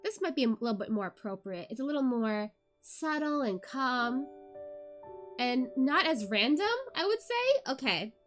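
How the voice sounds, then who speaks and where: A woman hosting a read-along livestream in an informal, expressive way, with music in the background. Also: sits high, moderate pace. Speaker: VTuber host of a book read-along. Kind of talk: casual chatty livestream; expressive audiobook-style narration of a novel.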